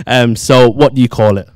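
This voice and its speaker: A man's voice: mid-range voice, unhurried, darkish tone, no room sound. Mancunian male host on a dry mic. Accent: Manchester. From Manchester, England. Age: 20s. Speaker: studio show host. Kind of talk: chatty audience interviewing. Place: dark studio theatre with tiered seats. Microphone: handheld microphone.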